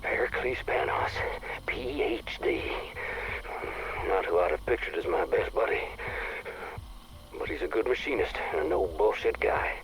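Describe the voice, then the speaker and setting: An actor playing a laid-back, rough-edged, colloquial man rambling haltingly in a video game audio log. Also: fairly low pitch, animated, background noise. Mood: amused, wry. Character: easygoing, salty bourbon-loving man, wry and rough-edged.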